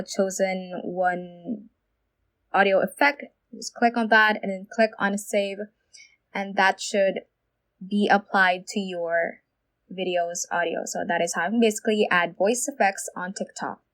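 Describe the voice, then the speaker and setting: A woman's voice: mid-range voice, steady tone, unhurried, fairly bright tone. A woman presenting a step-by-step phone app tutorial as a voice-over. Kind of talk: how-to screen tutorial narration.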